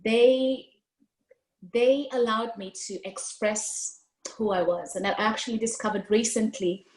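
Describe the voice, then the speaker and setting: Kenyan woman in her thirties, Swahili native, expressive guest storyteller on a roomy video call. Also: on the high side, measured pace. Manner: expressive, gestures with hands while speaking. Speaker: guest speaker. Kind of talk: storytelling interview answer. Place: room at home, indoors.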